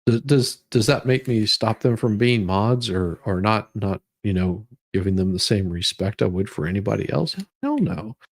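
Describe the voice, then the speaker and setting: A man hosting a casual livestream, chatting to viewers in a rambling, halting way that often repeats words. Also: fairly low pitch, animated, gravelly, no room sound, studio quality. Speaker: livestream host. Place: room at home.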